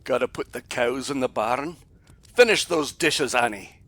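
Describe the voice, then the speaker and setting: An actor playing a curt, suspicious, tight-fisted Scottish crofter in an audio play. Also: male voice, mid-range voice, a little slow, fairly bright tone, a little hiss or hum, lightly acted. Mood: stern, bossy. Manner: curt, bossy, blunt.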